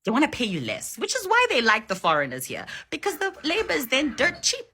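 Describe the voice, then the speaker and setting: A woman's voice: sits high, huge pitch swings, fairly quick, sharp tone. A woman from South Africa giving opinionated commentary in a reaction video, speaking with emphatic indignation. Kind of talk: opinionated social commentary to camera.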